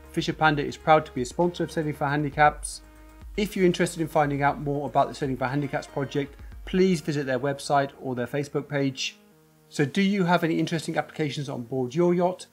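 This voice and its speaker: A man in his forties presenting a scripted company explainer to camera in a dark studio, earnest and steady, gesturing with his hands as he talks. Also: a little high, quick pace. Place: dark studio set with a generator on display. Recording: faint music bed under the voice.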